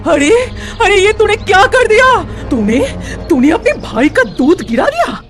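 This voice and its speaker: A woman voicing a harsh, bossy aunt, shrill and commanding, in a children's story. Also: very animated, measured pace, soft background music, full character voice. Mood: furious. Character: harsh scolding aunt, bossy and quick to anger.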